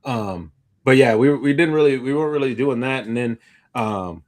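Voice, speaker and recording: A man's voice: medium pitch, lively intonation, moderate pace. A man chatting informally as a podcast co-host. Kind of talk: casual chat.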